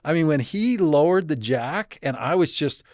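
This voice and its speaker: A man's voice: a little high, lively intonation, normal speed, a little mellow, no room sound, studio quality. A middle-aged man telling a heartfelt personal story on a podcast, earnest and swearing freely. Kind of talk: casual conversation.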